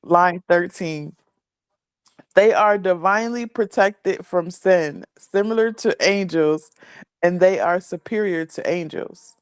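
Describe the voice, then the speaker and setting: A woman's voice: on the low side, takes it slowly, smooth, no room sound. Woman reading religious text aloud, dry sound. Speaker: class student reading aloud.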